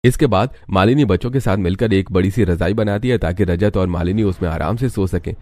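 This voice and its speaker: A man's voice: sits low, fairly quick, mellow, soft background music. A man narrating a scripted Hindi cartoon story.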